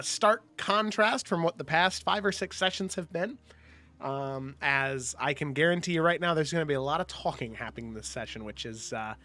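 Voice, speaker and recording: A man with a congested, slightly nasal voice, opening a tabletop game session as game master in a relaxed way, with many ums. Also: mid-range voice. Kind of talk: casual show opening.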